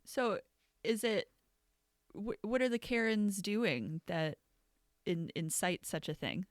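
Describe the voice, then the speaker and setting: A woman's voice: mid-range voice, expressive, takes it slowly, no room sound, clean studio sound. A woman hosting a podcast, asking curious questions and reacting supportively in casual conversation. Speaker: podcast host. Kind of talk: casual interview.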